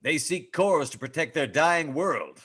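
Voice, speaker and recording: A man's voice: a little high, expressive, measured pace, a bit bright, breathy edge, full character voice. A man playing a proud, gallant, old-fashioned starship captain with formal, theatrical speech in a script table read. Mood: earnest, defiant. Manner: formal, theatrical, clipped orders.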